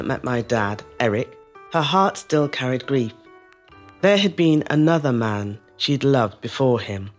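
A reflective middle-aged woman tells her family's story in a low voice. Her phrasing is measured and lyrical, shaped like a scripted audio-drama monologue. Soft music sits faintly behind her. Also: heavily acted.

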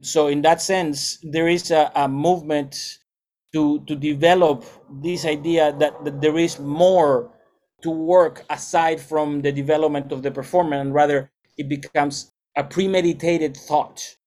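Middle-aged male music teacher, slightly high voice, measured and explanatory, restarts often. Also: fairly even intonation, a little mellow. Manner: thoughtful, lecturing, with frequent restarts. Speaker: music educator and salsa band leader. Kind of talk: explanatory interview answers.

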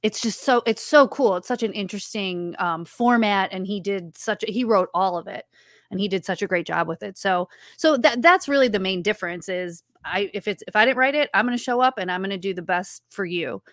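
A woman's voice: mid-range voice, brisk, no echo, clean studio sound. A woman with a childlike voice, chatting as a guest in an interview about her writing and voice work, often restarting her sentences. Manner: chatty, with frequent restarts and fillers. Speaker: guest, writer and voice actor. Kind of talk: casual interview chat.

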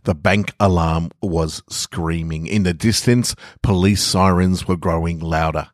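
A man works as a podcast host and story reader. His voice is on the low side and his delivery is unhurried and slow. The sound is dry, with little room in it. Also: dry recording. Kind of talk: scripted story narration.

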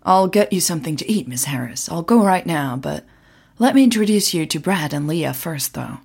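A concerned, courteous man voiced by a woman in an audio story. He speaks solicitously in a low, creaky voice, eager to help. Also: lively intonation, moderate pace, a little mellow, full character voice. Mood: worried, polite. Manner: polite, solicitous. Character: concerned, helpful man greeting a colleague. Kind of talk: audio story character dialogue.

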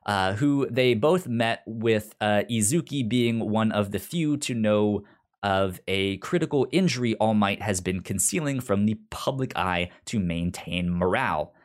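A man's voice: fairly low pitch, a little slow. A man hosting a podcast in a casual, chatty style, with a stutter that repeats sounds and syllables. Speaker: podcast host.

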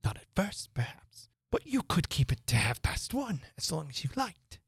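A woman voicing an excitable, mournful male hatter who rambles theatrically. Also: low voice, vocal fry, slightly rough, no room sound, clean studio sound, voice pushed up, exaggerated voice. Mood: whimsical. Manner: theatrical, rapid swings from chatter to gloom.

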